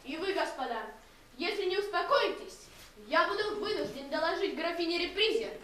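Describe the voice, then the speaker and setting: A woman's voice: very high voice, lively intonation, normal speed, a bit bright, slight background noise. A woman voicing a stern, self-important elder male musical sign in a children's fairy tale, pompous and scolding. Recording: very echoey, distant, music behind.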